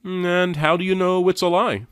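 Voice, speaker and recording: A man's voice: sits high, fairly even intonation, moderate pace, clean tone, dry recording, studio quality. A man giving critical commentary in a skeptical, sardonic and challenging manner. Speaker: commentator reviewing and rebutting a lecture.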